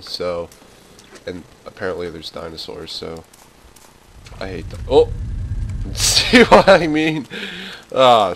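A young man records his commentary on a game at a desk microphone. His speech is slow and unhurried, yet his pitch swings widely as he jumps between nervous chatter, swearing and yelps of fright. A faint layer of noise sits under his voice. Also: fairly bright tone. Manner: jumpy, swearing, nervous laughs, yelps when startled. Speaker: gaming channel host. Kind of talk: let's play commentary.

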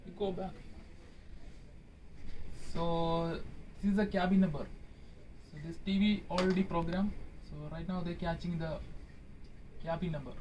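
A young man on a ship's crew demonstrating hotel TV setup in a small cabin, speaking halting English with fillers. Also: sits high, darkish tone, recorded from a distance, slight background noise, low-quality recording. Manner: halting, explanatory, non-native English with fillers. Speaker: ship electrician demonstrating TV setup. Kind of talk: casual how-to demonstration. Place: small cruise ship cabin. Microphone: phone camera held by speaker.

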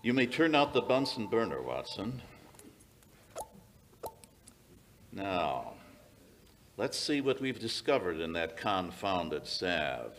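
An older man playing a commanding, inquisitive detective in old-time radio mystery style, speaking in a deliberate, authoritative way. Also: low voice, sing-song, a bit bright, a little hiss or hum, exaggerated voice. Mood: analytical. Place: small radio-style studio stage, indoors. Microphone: stand microphone.

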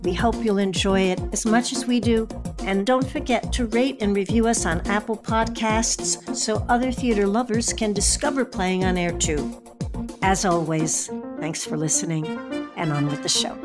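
A woman presents a theater podcast in scripted narration. Her pitch is medium for a woman, and music plays clearly behind her. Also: fairly even intonation, normal speed. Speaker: podcast host and announcer.

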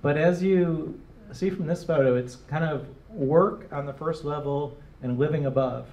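A man's voice: on the high side, measured pace, dark tone, dull audio. A middle-aged man from Detroit, answering interview questions onstage in a casual, rambling way with many fillers and restarts. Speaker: interviewed designer. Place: small gallery room, live audience. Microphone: handheld microphone. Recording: roomy, distant, faint hiss.

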